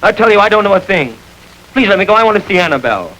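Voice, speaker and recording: A man's voice: sits high, very animated, very fast, bright, forceful tone, slight background noise, heavily acted, low-quality recording. An actor playing a frightened young male suspect who stubbornly pleads under interrogation, in 1940s radio acting style. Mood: pleading. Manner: pleading, desperate.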